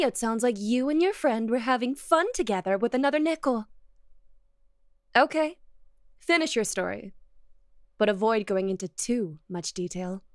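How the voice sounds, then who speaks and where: A woman's voice: a little high, dry recording, studio quality, natural voice. A woman playing a jealous, possessive young cat girl girlfriend in an ASMR roleplay, speaking to the listener in a coy, accusing yet affectionate way.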